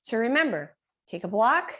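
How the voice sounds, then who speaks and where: A woman teaching a yoga class and guiding poses step by step. She is losing her voice and keeps clearing her throat. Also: on the high side, sing-song, normal speed, a bit bright. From Reno area, United States. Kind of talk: guided yoga class instruction.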